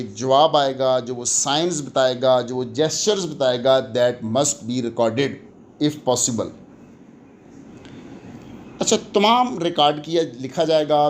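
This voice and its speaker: A man with a Pakistani accent lecturing in an explanatory way, deliberate and emphatic, switching between languages as he teaches. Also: medium pitch, a little hiss or hum. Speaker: law lecturer.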